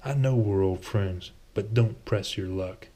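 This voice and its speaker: A man voicing a blunt, bitter, stubborn swordsman in a storybook reading, curt and gravelly. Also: lowish voice, unhurried, dark tone. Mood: bitter, threatening. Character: gruff, bitter, stubborn swordsman, old friend.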